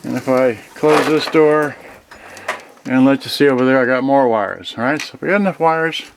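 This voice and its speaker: A man's voice: mid-range voice, moderate pace, vocal fry. A man giving a casual, unscripted unboxing as host, rambling and thinking aloud, often trailing off mid-thought. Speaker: host of an electronics unboxing channel. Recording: noisy home video with clicks.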